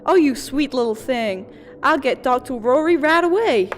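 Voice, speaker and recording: A woman's voice: high-pitched, expressive, moderate pace, noisy background. A woman voicing a kindly but unimpressed receptionist in a comedic fantasy audio drama, dry at times and cooing at others. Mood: sympathetic.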